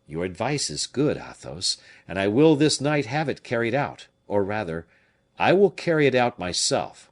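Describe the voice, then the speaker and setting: A man's voice: on the low side, big pitch swings, normal speed. A man reading an audiobook, voicing a blunt, shrewd male musketeer captain who speaks frankly and with animation. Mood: brisk.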